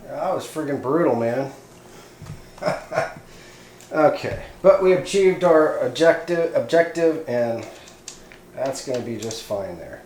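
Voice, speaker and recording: A man's voice: medium pitch, lively intonation, slow, pauses often, a little mellow. A man narrating a hands-on DIY job casually, thinking aloud in a folksy, informal way. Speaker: DIY electronics video host. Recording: roomy echo, clear background noise, clicks.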